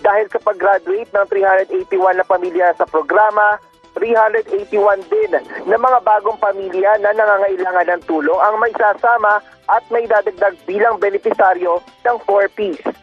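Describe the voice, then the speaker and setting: A man with a Filipino accent and a husky voice giving a scripted news report as a radio reporter. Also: high-pitched, lively intonation, normal speed. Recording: dull lo-fi radio feed with music. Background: music.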